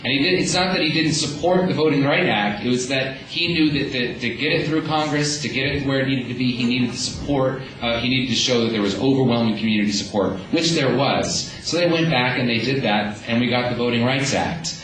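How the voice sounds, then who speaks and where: A man's voice: medium pitch, a little fast, few pauses, a bit bright, slight background noise. A man giving an earnest speech as a community organizer, with many restarts. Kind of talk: speech at a rally. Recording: echoey room, speaker far from mic.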